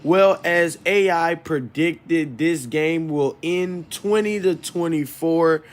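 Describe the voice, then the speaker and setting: A man hosting an informal sports game preview, speaking haltingly and often correcting himself. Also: a little high. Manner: halting, stumbling delivery with self-corrections.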